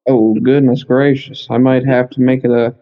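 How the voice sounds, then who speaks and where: A man with a mid-range voice chats as a podcast guest, working through his thoughts out loud in a halting way. The sound is slightly dull and lo-fi, as from a computer mic on a remote call. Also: medium pitch, level tone. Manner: halting, thinking aloud as they go. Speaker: podcast guest, anime fan expert. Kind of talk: casual podcast discussion. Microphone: computer mic, remote recording.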